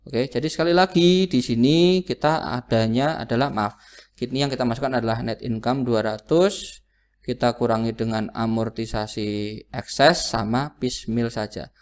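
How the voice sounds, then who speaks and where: A man's voice: mid-range voice, moderate pace. A man lecturing through worked calculations, didactic, often asking a question and then answering it himself. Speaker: accounting lecturer.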